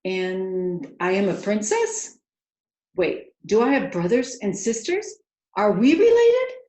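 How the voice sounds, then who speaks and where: A woman's voice: medium pitch, big pitch swings, takes it slowly, warm tone, pitched above the performer's own voice. A woman voicing a confused, hopeful young lost princess in a storybook, with tumbling, questioning delivery. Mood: astonished, excited. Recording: roomy, echoing home recording.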